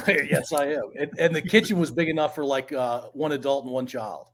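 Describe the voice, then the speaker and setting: A man's voice: medium pitch, normal speed, a bit bright, slight background noise. A man hosting a podcast, joking with his guest and asking short questions while laughing along. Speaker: podcast host. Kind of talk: casual interview chat.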